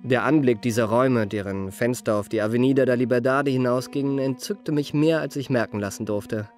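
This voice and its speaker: An actor in a German radio play playing an eager, well-mannered young impostor who poses as a marquis and speaks in ornate, courteous phrases. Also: male voice, medium pitch, brisk, a little mellow, natural voice. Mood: pleased. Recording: radio play with faint music.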